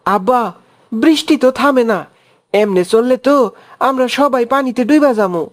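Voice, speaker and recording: A woman's voice: on the high side, measured pace, lightly acted. A woman voicing a caring, devout young village boy in a cartoon, earnest and childlike, with a rural Bangladeshi accent. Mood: frightened.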